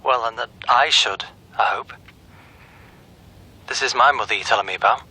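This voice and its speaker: An actor playing a brisk, suspicious businessman heard over the telephone in a radio drama, curt in manner. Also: medium pitch, sharp tone, husky. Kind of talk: naturalistic radio drama acting.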